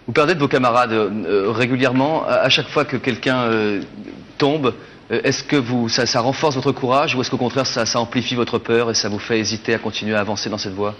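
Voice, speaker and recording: A male interviewer asking a question in a halting way, with many fillers. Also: medium pitch, a bit bright, a little hiss or hum.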